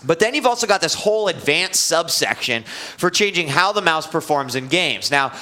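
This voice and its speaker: A man's voice: on the high side, animated, normal speed, bright, forceful tone. A man hosting a live gaming web show, casually reviewing a computer mouse with lots of fillers and restarts.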